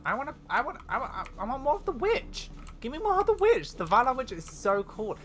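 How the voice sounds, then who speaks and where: A woman giving casual, excitable gaming commentary, rambling and interrupting herself as she plays. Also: high voice, a little fast. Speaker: gaming video host. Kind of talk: casual let's play commentary.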